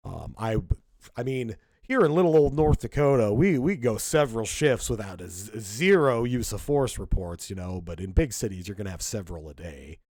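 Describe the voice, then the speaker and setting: Podcast co-host, man, lively swooping delivery, dry close sound, worked-up and blunt. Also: fairly low pitch, moderate pace, dry recording. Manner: emphatic, indignant, lots of restarts. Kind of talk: casual political commentary.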